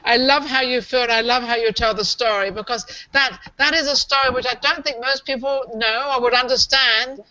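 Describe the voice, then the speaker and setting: A woman's voice: a little high, steady tone, a little fast, few pauses, bright, forceful tone, muffled recording. A woman hosting a talk show, who praises her guest effusively.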